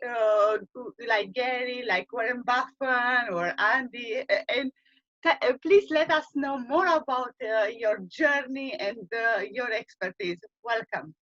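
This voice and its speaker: A woman hosting an interview, introducing her guest in a halting way full of fillers. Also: a little high, fairly bright tone, no echo, muffled recording. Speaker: talk show host.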